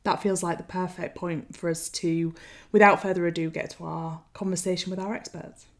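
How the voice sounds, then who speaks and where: A woman co-hosting, posing a careful, measured question. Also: lowish voice, level tone. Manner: measured, searching for words. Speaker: co-host. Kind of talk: interview question.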